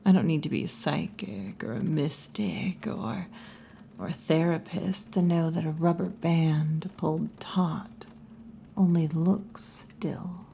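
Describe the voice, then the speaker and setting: Woman as a slow, whispery tomboy wolf girl. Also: sits low, slight background noise, heavily acted. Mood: wry, perceptive. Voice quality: soft, breathy, often near whisper. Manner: slow, unhurried, wry and teasing. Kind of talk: ASMR roleplay, soft whispery delivery.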